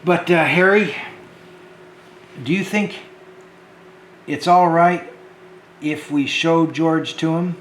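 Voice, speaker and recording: A man hosting a homemade children's show, talking gently and hesitantly to the kids and often repeating words. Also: on the high side, fairly even intonation, slow pace, long pauses, roomy sound, a little hiss or hum.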